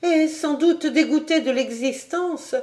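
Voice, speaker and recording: A woman's voice: sits high, takes it slowly, a little mellow, pitched above the performer's own voice. Give me a woman playing an anxious young noblewoman pleading urgently in a storybook. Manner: urgent, imploring.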